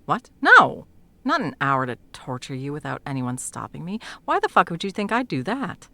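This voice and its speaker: A woman playing a sardonic, foul-mouthed sound mage in an intimate fantasy audio roleplay, talking sarcastically and emphatically with a creaky edge. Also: deep voice, sing-song, normal speed, pushed and bright, full character voice. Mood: exasperated. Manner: sarcastic, emphatic, swearing freely. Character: sardonic, foul-mouthed female sound mage, guiltily helping an old friend.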